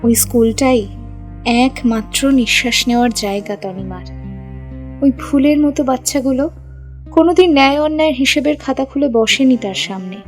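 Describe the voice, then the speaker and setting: A woman's voice: high voice, normal speed. A woman reading a scripted audio story as its narrator. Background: background music.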